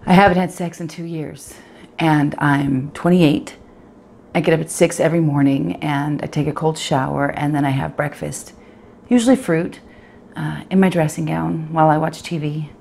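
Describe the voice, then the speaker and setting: Actress as fretful, self-critical woman of twenty-eight, low register, confessional stage monologue, direct address. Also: steady tone, moderate pace, vocal fry, a little mellow, slight background noise. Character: anxious, self-critical 28-year-old professional woman, obsessive about appearance.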